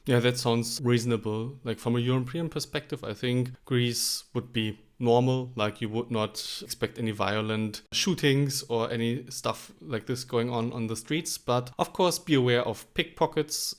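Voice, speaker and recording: Man, German accent, mid pitch, young adult, easygoing podcast co-host, explains at length. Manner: easygoing, explanatory, fond of "like" and "actually". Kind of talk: casual podcast chat.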